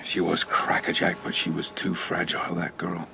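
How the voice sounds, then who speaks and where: A man acting a roguish, expansive father and scheming charmer in a radio drama, rambling and avuncular, moving between hushed and hearty. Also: sits low, completely flat delivery, moderate pace, vocal fry, noisy background. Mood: tender, wistful.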